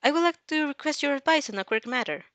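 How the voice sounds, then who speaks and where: A woman's voice: high voice, animated, fast, a bit bright, no room sound, voice pushed up. A woman voicing an earnest, formal teenage girl student, courteous and inquisitive, in a story reading. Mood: polite.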